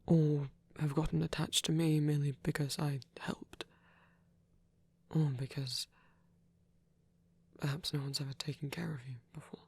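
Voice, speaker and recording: A performer playing a gentle, protective male vampire priest in an intimate ASMR roleplay, speaking softly and haltingly, often in a whisper. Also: mid-range voice, little pitch movement, dry recording. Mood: earnest, hesitant. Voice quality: soft, breathy, often whispering. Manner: hesitant, tender, halting pauses.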